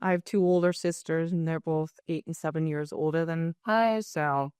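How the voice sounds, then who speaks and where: Lower-voiced German-born woman, smooth. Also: steady tone, moderate pace, darkish tone, dry recording, clean studio sound. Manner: chatty storyteller, self-deprecating. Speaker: guest, business owner. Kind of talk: casual interview chat.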